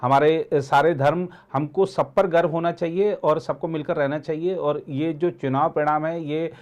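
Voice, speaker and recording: A man speaking as an editor and commentator in a political discussion, halting and often repeating words and phrases. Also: fairly high pitch, fairly even intonation, highs cut off.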